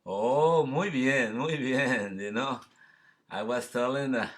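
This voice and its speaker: A man from El Salvador with a Salvadoran Spanish accent, chatting casually in a halting, repetitive, rambling way. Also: mid-range voice, fairly bright tone. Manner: halting, repetitive, peppered with "you know". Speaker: guest singer-songwriter. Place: live video call.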